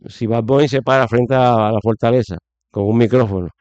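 A man from Puerto Rico speaks with a Puerto Rican accent, pressing his opinions hard and stumbling over fillers and restarts. His voice sits at an ordinary pitch. The recording is a little dull and dry, with no room sound. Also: steady tone, fairly quick, darkish tone, smooth, dry recording. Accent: Puerto Rican Spanish. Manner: emphatic, many fillers and restarts. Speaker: guest commentator. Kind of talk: opinionated conversational commentary.

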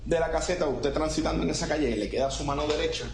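A man's voice: fairly high pitch, racing, a little hiss or hum. A male lawyer questioning a police witness in court, polite and methodical. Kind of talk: courtroom examination. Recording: echoey courtroom mic.

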